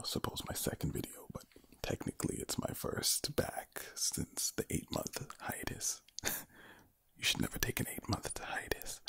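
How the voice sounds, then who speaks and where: A man doing ASMR in a low, breathy whisper, giving a soothing pep talk with repeated phrases and a villain-like, menacing tone. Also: fairly bright tone, no room sound. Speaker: ASMR video creator.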